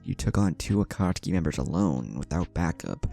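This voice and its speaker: A man's voice: sits low, lively intonation, a little fast, creaky, mellow, soft background music. A man voicing a worried, bossy young woman who scolds her boyfriend with pointed questions. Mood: stern.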